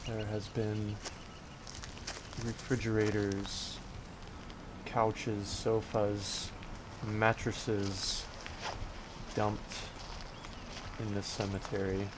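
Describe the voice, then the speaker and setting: Congested male host, low-pitched, slow, deadpan sarcasm, loud outdoor noise behind him. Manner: dry, sarcastic, halting. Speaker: video host. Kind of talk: sarcastic narrated tour.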